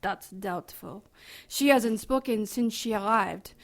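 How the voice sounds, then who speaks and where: A woman's voice: mid-range voice, slow pace. A woman playing a cynical, callous nun in an audio drama, with a blunt, sarcastic and grumbling manner. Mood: sardonic.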